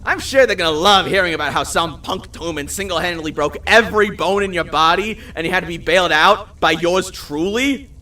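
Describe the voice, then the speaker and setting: A man's voice: high-pitched, lively intonation, brisk, bright, forceful tone, recorded from a distance. A man voicing a cocky, foul-mouthed supernatural hunter in an audio drama, sarcastic and taunting. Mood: mocking. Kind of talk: dramatized audio fiction dialogue.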